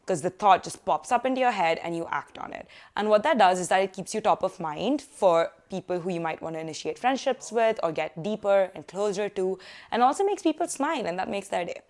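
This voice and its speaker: A young woman vlogging casually from a living room sofa, giving student tips in a chatty, animated way. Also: medium pitch. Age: young adult. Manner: chatty, animated hand gestures, quick asides. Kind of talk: casual advice vlog.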